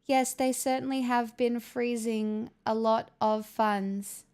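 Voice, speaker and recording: A woman's voice: a little high, steady tone, slow pace, darkish tone, clear, resonant tone. A young woman hosting a casual livestream, chatting, joking and swearing as she answers messages from chat. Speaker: livestream host reading chat.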